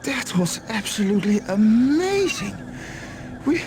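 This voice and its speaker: An actress playing an earnest, inquisitive adventurer in a fantasy video game. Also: female voice, a little high, big pitch swings, a little slow, background noise. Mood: excited curiosity.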